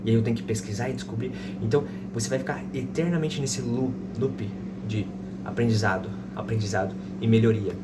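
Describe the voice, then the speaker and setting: A man's voice: medium pitch, brisk. Casting: Brazilian man, thirties, didactic tutor who repeats examples, recorded away from the mic in heavy noise. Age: 30s. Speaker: English teacher. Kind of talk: informal explanatory language lesson with slow example sentences. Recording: distant mic, loud background noise.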